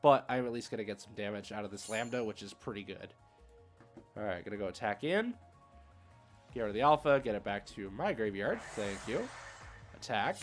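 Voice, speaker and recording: Male game streamer, average pitch, casual rambling play-by-play, slangy, music underneath. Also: a bit bright. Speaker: streamer playing an online card game. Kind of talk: casual live game commentary, thinking aloud. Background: music playing behind the voice.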